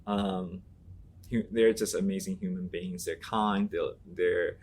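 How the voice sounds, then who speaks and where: A man from Taiwan whose first language is Mandarin speaks in a low voice, answering like a teacher who means every word. He circles back, restarts and leans on little fillers as he thinks. A faint background noise sits under the line. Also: fairly even intonation, takes it slowly, darkish tone. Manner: earnest, thinking aloud with many "you know" fillers and restarts. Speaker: Latin teacher, interview guest. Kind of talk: reflective interview answers.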